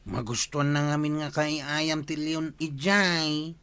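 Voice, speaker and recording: A villager explaining stuff plainly, even tone. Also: medium pitch, a little slow, smooth.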